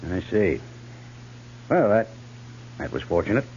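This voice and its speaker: A muffled, lightly noisy 1950s radio recording has a skeptical army major. He is gruff, curt and grudging, in a low voice. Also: sing-song, a little slow, a little mellow. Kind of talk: 1950s western radio drama acting.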